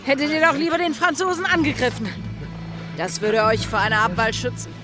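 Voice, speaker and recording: Female pirate, high voice, cool, defiant, taunting, radio play, music behind. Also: lively intonation, a little fast. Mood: cool, challenging. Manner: composed, taunting.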